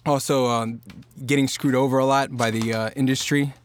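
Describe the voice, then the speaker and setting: A man's voice: medium pitch, moderate pace, a little hiss or hum. A man from Norfolk, Virginia, a singer-songwriter guest talking casually on a podcast, loose and rambling with lots of fillers. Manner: relaxed, rambling with many fillers and restarts.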